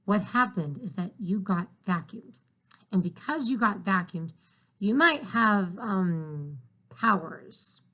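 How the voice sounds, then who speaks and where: Guy reading as an excited little girl, explaining stuff, eager and earnest. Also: high voice, takes it slowly, full character voice.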